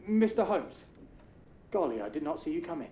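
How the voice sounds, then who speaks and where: Casting: a flustered, stammering male shopkeeper for a game, highish lively voice, echoey room. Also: fairly quick. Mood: startled. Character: nervous, evasive male bookshop keeper dodging questions. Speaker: bookshop keeper. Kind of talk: video game cutscene acting. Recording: roomy echo with faint noise.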